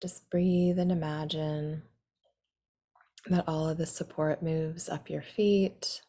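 A woman leading a guided meditation in a soothing, instructing manner, some phrases softening into a breathy near-whisper. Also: low voice, steady tone, slow pace.

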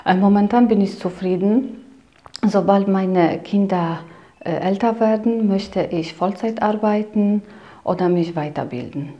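A woman's voice: mid-range voice, measured pace, dark tone, muffled recording. A woman in her thirties, a housekeeping worker, giving short matter-of-fact interview answers. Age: 30s.

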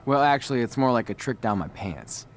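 A man's voice: mid-range voice, lively intonation, brisk, lightly acted. A man voicing an awkward young wrestler. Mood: sheepish. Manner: awkward, sheepish.

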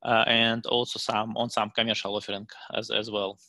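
A man in his thirties with a Russian accent talks through a tech presentation on a video call, stopping often with fillers and restarts. His voice is low and bright, and the headset sound is dry. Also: flat delivery, no echo. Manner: halting, many fillers and restarts. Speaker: guest speaker. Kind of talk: meetup presentation. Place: home room, indoors.

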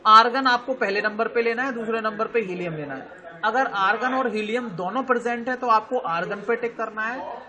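A male teacher with an Indian accent giving an online lecture, explaining slowly, spelling out words letter by letter and often checking that students follow. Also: high-pitched, pushed and bright, lo-fi sound.

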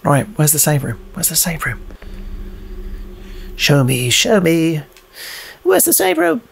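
A man's voice: fairly high pitch, huge pitch swings, slow, vocal fry, husky. A young British man giving casual, playful gameplay commentary, sweary and often repeating phrases in a sing-song way. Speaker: let's play host.

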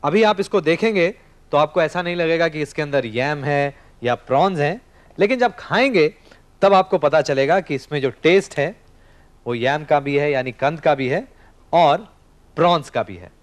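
A man's voice: fairly high pitch, lively intonation, normal speed. A man in his thirties hosting a studio cooking show, explaining each step while he cooks and talks to the camera. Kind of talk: scripted cooking demonstration. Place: TV studio kitchen set.

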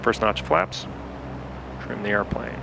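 A man's voice: fairly low pitch, flat delivery, slow pace, creaky, background noise. A man from Utah giving easygoing running commentary as he flies a home-built flight simulator, talking himself through cockpit checklists. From Salt Lake City, Utah. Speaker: home flight-sim pilot. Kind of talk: casual running commentary while flying.

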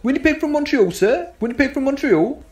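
A man's voice: very high-pitched, lively intonation, fairly quick. A man playing a proud, polite music-hall memory performer with a declamatory, deferential delivery in an amateur radio play. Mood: proud, eager. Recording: homemade recording under loud music.

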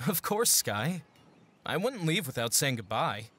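A man's voice: a little high, very animated, measured pace, pushed and bright, husky, exaggerated voice. Protective big brother, farm youth, acted by a man: earnest, a little hesitant, varied intonation, audio drama. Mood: earnest, pleading.

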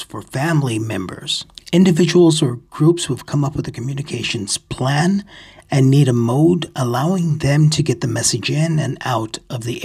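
Husky, gravelly man narrating a tutorial. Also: mid-range voice. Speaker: ham radio video host. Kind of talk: explanatory screen-recording narration.

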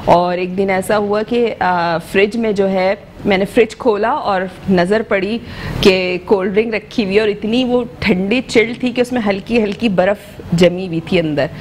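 TV cooking host, woman, Pakistani Urdu accent, hoarse throat, chatty step-by-step talk, mid-pitched, noisy studio mic. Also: measured pace. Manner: chatty, explaining step by step with many fillers. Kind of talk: live cooking demonstration. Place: TV studio kitchen set.

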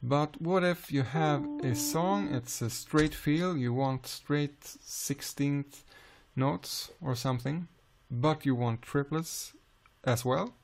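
Slow, gravelly man with a Swedish accent. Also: on the high side, faint music behind. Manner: calm, unhurried explaining with frequent "uh" pauses. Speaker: music software tutor. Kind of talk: screen-recorded software tutorial.

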